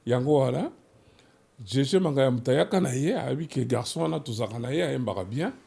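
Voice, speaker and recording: Gravelly, mellow Congolese man presenting. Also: mid-range voice, expressive, a little fast. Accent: Congolese, Kinshasa. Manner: switches between French and Lingala. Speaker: host of a music history programme. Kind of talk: conversational presenting and storytelling.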